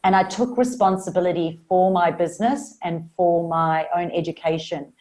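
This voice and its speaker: A woman with a South African Australian accent talks as a podcast guest about marketing. Her voice sits a little low, and she speaks in an earnest, upbeat, encouraging way. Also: fairly even intonation, normal speed, a little mellow. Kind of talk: podcast interview.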